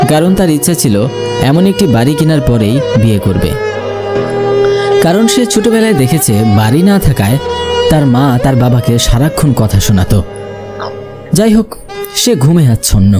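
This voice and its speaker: Animated male horror storyteller over loud music. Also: medium pitch. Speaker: storyteller narrator. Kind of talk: scripted horror story narration. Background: loud instrumental music.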